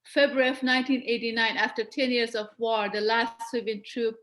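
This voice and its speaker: A woman's voice: fairly high pitch, little pitch movement, brisk, a bit bright. A woman in her forties, born in Afghanistan, giving an earnest presentation over a video call with small restarts. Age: 40s. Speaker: guest presenter. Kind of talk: webcast presentation.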